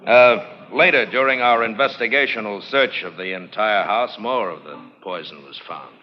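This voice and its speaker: A matter-of-fact policeman, played by an actor, testifies in 1940s radio drama style. His fairly low male voice rings with long reverb on a dull old recording. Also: normal speed, lightly acted. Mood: factual.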